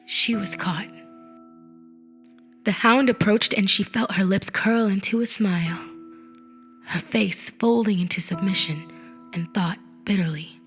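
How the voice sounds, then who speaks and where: A woman narrates a literary audiobook in a deliberate, expressive storytelling manner, with phrase endings that drop to a hush. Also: medium pitch. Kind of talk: dramatic literary audiobook reading. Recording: musical effects mixed under the voice. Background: music and effects.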